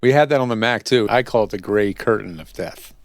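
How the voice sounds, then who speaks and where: A man's voice: on the low side, fairly quick, no echo. A man hosting a podcast in casual interview chat, explaining things in a chatty way. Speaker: podcast host and audio tech interviewer.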